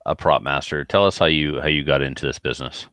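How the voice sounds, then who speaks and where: Very deep, smooth-voiced man. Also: fast, fairly bright tone, no room sound. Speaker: podcast host, interviewer. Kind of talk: casual interview chat.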